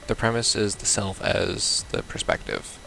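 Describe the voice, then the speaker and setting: A person playing a visual novel, giving terse, casual reactions out loud with the odd swear word. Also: deep voice, steady tone, a little slow, creaky, soft background music. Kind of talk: casual playthrough commentary, reacting aloud and reading choices.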